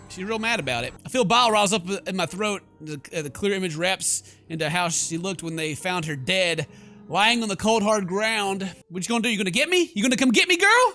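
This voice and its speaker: Male let's-play host, theatrical and joking, bright forceful tone, reading and riffing over background music. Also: sits high, animated, moderate pace. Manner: jokey, theatrical. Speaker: gaming video host. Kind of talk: game commentary and reading aloud. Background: game music.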